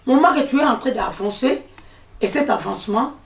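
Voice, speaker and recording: A woman gives her own account and drives each point home by repeating it. She sits back from the microphone, and the room adds a clear echo. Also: fairly high pitch, lively intonation, fairly quick, far from the mic. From DR Congo, raised in Angola. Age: 40s. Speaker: guest. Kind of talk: personal testimony in a discussion. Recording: echoey room, speaker off mic.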